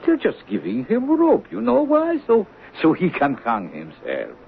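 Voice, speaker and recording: A man's voice: sits high, wildly swooping pitch, unhurried, vocal fry, heavily acted. An actor playing a streetwise working-class man, coaxing and slangy, placating his partner in a 1970s radio drama. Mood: coaxing, explanatory. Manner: coaxing, hesitant, slangy, ungrammatical. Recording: noisy, tinny 1970s radio broadcast recording.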